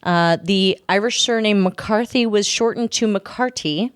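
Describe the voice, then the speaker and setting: A woman podcast host with a smooth, clear voice in a close, dry recording. She chats casually and tells her story in an informal, joking manner. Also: medium pitch, unhurried, no echo. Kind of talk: casual chat with storytelling from notes.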